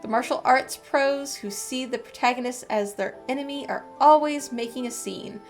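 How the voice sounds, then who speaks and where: A woman's voice: high-pitched, moderate pace, faint music behind. A woman game streamer reading story text aloud and commenting in a casual, agreeable way during a live playthrough.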